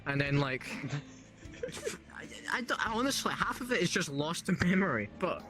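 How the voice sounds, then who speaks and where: A man's voice: fairly high pitch, big pitch swings, moderate pace, over music. A man co-hosting a casual podcast, rambling and joking and slipping into mock accents mid-sentence. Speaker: podcast co-host.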